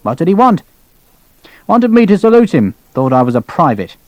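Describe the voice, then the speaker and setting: A man's voice: fairly high pitch, moderate pace, no room sound, highs cut off, voice pushed up. A man voicing a blunt, defiant British rifle officer in an audiobook, speaking firmly. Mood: indignant. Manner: flat, firm.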